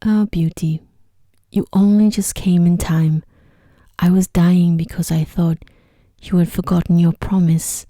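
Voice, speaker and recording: Woman softly voicing a very mellow, gentle storybook beast. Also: on the low side, steady tone, measured pace, no room sound, clean studio sound. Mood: gentle, relieved. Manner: gentle, soft-spoken. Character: gentle, lovelorn ugly male beast. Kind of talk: ASMR storybook reading.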